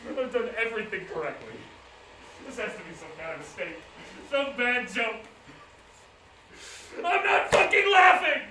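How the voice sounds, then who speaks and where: A man's voice: very high voice, animated, unhurried, long pauses, a crowd in the background. An actor playing an aggrieved, desperate man in a dramatic monologue, theatrical, emphatic and bitter in delivery. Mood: searching, frustrated, then livid. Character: aggrieved, nameless man desperate to regain his name. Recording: echoey room, distant mic, clear noise.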